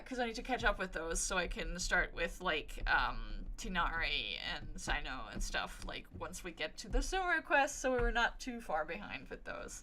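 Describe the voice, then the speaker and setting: A woman's voice: mid-range voice, pushed and bright. A woman livestreaming a video game with casual, wry, sweary reactions, sounding tired. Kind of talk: casual reactive gameplay commentary. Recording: game music behind voice.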